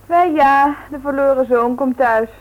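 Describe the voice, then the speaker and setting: A woman's voice: high voice, fairly even intonation, a little slow, highs cut off. An actress playing a sensible, teasing young woman in a Dutch radio play. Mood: breezy, teasing.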